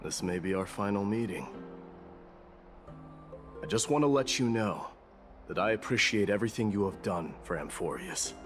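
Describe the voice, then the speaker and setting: An actor playing a solemn, reserved warrior prince and guardian in a video game, speaking formally and earnestly. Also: male voice, lowish voice, expressive, normal speed, long pauses, close to an everyday voice. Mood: solemn, grateful. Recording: loud music behind.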